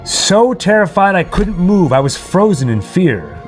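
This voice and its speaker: Guy hosting a stream, kinda high lively voice, dramatic casual storytelling. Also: measured pace, soft background music. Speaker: livestream host. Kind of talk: casual storytelling and chat to livestream viewers.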